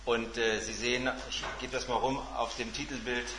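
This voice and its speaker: A man's voice comes through with clear room echo and noticeable background noise. He speaks as a seminar host making a formal introduction. His delivery halts, then lands with emphasis. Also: medium pitch, level tone, moderate pace. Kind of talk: semi-formal spoken introduction. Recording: roomy, noisy live seminar recording.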